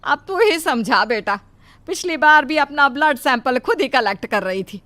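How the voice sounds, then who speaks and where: A woman plays a cautious, protective mother-in-law from a cartoon moral story. Her voice sits fairly high, and she fusses and chides in a concerned, nagging way. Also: expressive, moderate pace, sharp tone. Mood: scolding, concerned. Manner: scolding, exclaiming, fussing.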